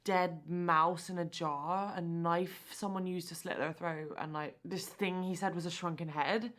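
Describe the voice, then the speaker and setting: Film role: flippant, phone-obsessed young woman, lowish pitch, casual drawl, breezy and gossipy. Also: fairly even intonation, measured pace, fairly bright tone. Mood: gossipy, disgusted. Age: young adult. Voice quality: casual drawl peppered with "like" and "babe". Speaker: actor in an interactive murder mystery film.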